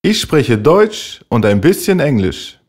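Slow, lively phrase reader, male. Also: mid-range voice. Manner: slow, clearly enunciated model phrases for repeating. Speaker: German language teacher voice. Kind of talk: slow scripted German phrase reading.

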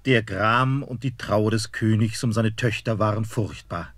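A man narrating a fairy tale in a measured storytelling manner. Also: lowish voice, fairly bright tone, no echo.

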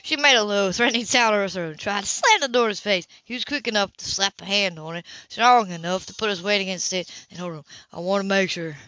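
Bright-voiced woman reading a novel, lo-fi. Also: medium pitch, fast talker, hardly any pauses, dry recording. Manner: stumbles over words while reading. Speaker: amateur audiobook reader. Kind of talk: scripted narration of a romance novel. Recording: dull, lo-fi home recording.

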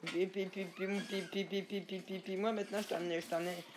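A man's voice: high-pitched, level tone, brisk. A man hosting a casual gameplay chat, stammering and repeating filler words in long runs. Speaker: gaming channel host. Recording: background noise.

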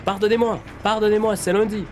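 A young man streaming a game live, chatting to his viewers, a little nasal from a cold, repeating himself when excited. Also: high voice, brisk, faint music behind. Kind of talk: live gameplay commentary.

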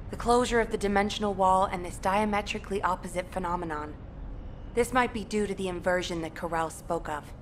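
Casting: woman as authoritative game military commander, middle register, formal, measured delivery, composed under threat. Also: mid-range voice, slight background noise, lightly acted. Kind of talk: video game briefing.

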